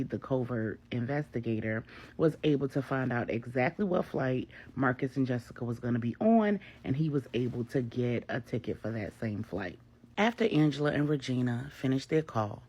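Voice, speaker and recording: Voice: medium pitch, slightly rough. A storyteller and host narrating a serial story in an informal, conversational and folksy way.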